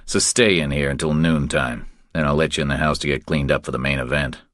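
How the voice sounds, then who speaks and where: A man acts out a tough, commanding drifter with an extremely deep voice. He speaks in terse, controlled, taunting lines, as in a thriller audiobook, with a dry sound. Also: steady tone, a little fast, a bit bright, dry recording. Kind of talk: audiobook character dialogue.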